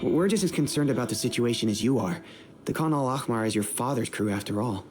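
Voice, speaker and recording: An actor playing a calm, good-humored male mercenary scout in a game. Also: mid-range voice, fast, music in the background, close to an everyday voice. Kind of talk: video game cutscene dub.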